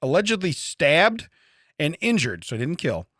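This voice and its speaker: A middle-aged man chats as a comedy podcast co-host in a close, dry recording. His voice is bright and lively, with pitch that jumps about. He rambles casually and repeats phrases to land the joke. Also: moderate pace, dry recording. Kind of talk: casual comedic chat, reading out odd facts and riffing.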